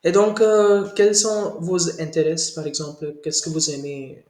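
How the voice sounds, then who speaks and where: A man practicing French as a student in a video call lesson, speaking haltingly with many hesitations and slips. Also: fairly high pitch, darkish tone. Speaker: language student. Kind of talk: casual conversation practice. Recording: roomy video call audio.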